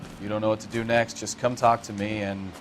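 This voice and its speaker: An actor playing a sardonic, streetwise veteran American traffic cop in a crime video game. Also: male voice, on the low side, level tone, fairly quick, close to an everyday voice. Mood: easygoing. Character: wisecracking, touchy veteran traffic cop, needling his partner. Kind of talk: naturalistic crime game acting.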